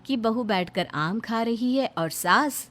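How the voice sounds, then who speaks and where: A woman's voice: a little high, expressive, takes it slowly, fairly bright tone. A woman giving scripted narration for a cartoon story.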